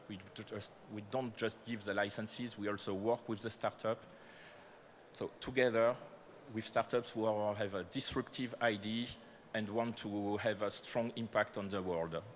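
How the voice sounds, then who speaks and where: A man's voice: on the low side, steady tone, normal speed, slight background noise. French-accented man presenting at a conference. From France. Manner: hesitant, many fillers and self-corrections. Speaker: corporate speaker presenting startup programs. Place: conference hall.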